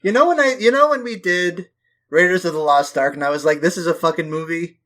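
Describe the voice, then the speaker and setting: A man with a fairly high voice co-hosts a film podcast. He talks casually but emphatically, swearing freely and leaning into hyperbole. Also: a little fast, a bit bright. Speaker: podcast co-host.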